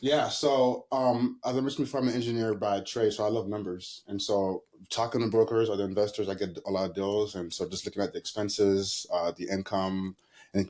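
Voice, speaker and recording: A man in his thirties from Haiti, a guest answering interview questions in a relaxed, storytelling way. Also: low voice. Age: 30s. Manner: relaxed, storytelling, laughs easily.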